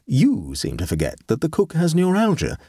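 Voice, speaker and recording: A man voicing a mild gentleman artist in a storybook reading, precise, dry and politely stubborn. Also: on the high side, wildly swooping pitch, normal speed, slightly rough, no room sound, pitched above the performer's own voice, exaggerated voice. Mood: calmly reproving. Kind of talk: storybook character voice.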